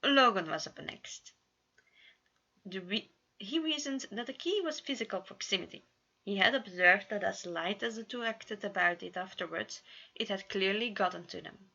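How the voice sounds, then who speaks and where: Bright-voiced woman reading a story, lo-fi. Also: medium pitch, expressive, moderate pace, gravelly. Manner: stumbles over words and corrects herself. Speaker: amateur fan fiction reader. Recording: dull lo-fi home recording.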